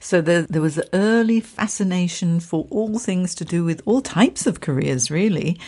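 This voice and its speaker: Low, mellow-voiced woman podcast host. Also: animated, moderate pace. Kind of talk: podcast interview.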